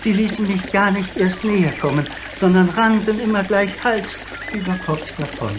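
An actor playing a gentle, courteous, lonely old giant in a radio play, explaining things in a theatrically expressive way. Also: male voice, high-pitched, normal speed, background noise, close to an everyday voice. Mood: gentle, grateful.

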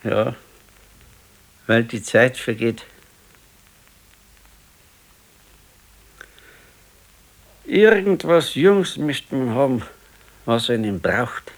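A man's voice: medium pitch, very animated, takes it slowly. An actor playing a sickly, wistful grandfather in his sixties in a radio play, musing in short colloquial phrases with a Bavarian accent. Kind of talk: naturalistic radio play dialogue.

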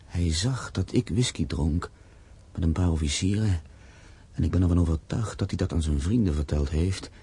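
A man's voice: low voice, moderate pace, mellow, slightly rough, full character voice. A man acting in a Dutch radio drama as a proud, grieving castle owner, speaking solemnly and emphatically. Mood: bitter, sorrowful.

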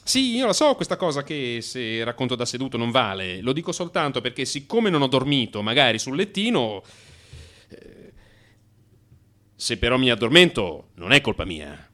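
A man acting an anxious, defensive, sleepless office worker in a theatrical radio monologue, agitated and halting as he justifies himself. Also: mid-range voice, sing-song, bright, forceful tone. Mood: uneasy, fussy. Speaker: actor.

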